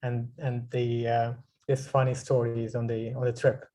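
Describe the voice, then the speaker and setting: A man in his twenties from Morocco, a guest filmmaker speaking in an interview over a video call, often pausing and restarting as he searches for words. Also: mid-range voice, monotone, mellow. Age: 20s.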